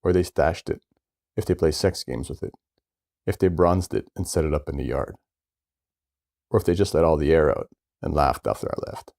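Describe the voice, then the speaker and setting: Man, short story narration, conversational, mellow tone, gravelly edge. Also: very deep voice, moderate pace, long pauses. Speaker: author reading own short story. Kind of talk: first-person short story reading.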